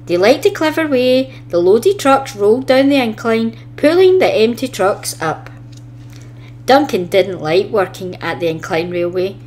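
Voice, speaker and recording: A woman's voice: a little high, animated, measured pace, darkish tone. A woman narrating a children's story expressively, reading from a script as a storybook reader.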